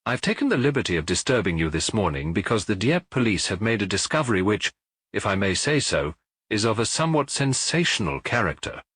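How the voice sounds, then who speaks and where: A man's voice: lowish voice, sing-song, brisk, a bit bright, breathy edge, dry recording, full character voice. A man voicing a self-satisfied, eager police inspector in an audiobook, with a pompous, formal, explanatory manner. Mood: self-important. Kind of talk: audiobook character voice.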